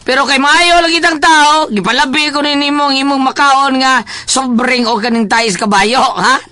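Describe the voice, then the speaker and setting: Man acting chatty, teasing, high-voiced schoolboy. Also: moderate pace, bright, forceful tone, no echo. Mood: teasing, complaining. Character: talkative, teasing schoolboy, grumbling good-hearted friend. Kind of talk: comic audio drama acting.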